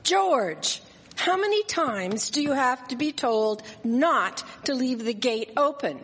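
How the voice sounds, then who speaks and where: Radio play: actress as exasperated 1870s farmer's wife, high voice, drawn-out scolding, live theatre echo. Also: female voice, animated, slightly rough, full character voice. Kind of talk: live radio drama acting. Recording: echoey hall, live stage performance.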